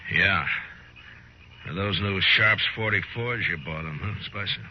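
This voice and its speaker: An actor playing a stern, fearless frontier marshal in a 1950s radio western, speaking in a terse, dry, hard-boiled way. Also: male voice, low voice, a little slow, slight background noise. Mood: firm, defiant. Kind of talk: 1950s radio drama acting and first-person narration. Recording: muffled old radio recording with music.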